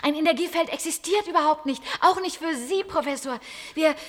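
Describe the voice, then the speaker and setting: A woman's voice: sits high, brisk, close to an everyday voice. A woman playing an anxious, pleading female captive in a science-fiction radio play, with hesitant, repeated phrases. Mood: hopeful, pleading. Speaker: radio drama actor. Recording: long echoing reverb.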